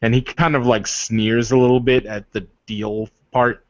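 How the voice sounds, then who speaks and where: A man's voice: mid-range voice, flat delivery, unhurried, dry recording, highs cut off. A man casually narrating a character's actions in a tabletop roleplaying session. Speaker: roleplay game player narrating his character.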